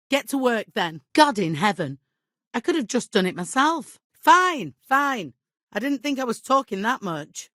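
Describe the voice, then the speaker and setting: An actress playing a middle-aged medieval village widow in a video game, devout and guilt-ridden, with an exasperated, imperious and plaintive manner. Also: female voice, medium pitch, a bit bright, dry recording, clean studio sound. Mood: impatient, irritated. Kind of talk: dramatic video game dialogue acting.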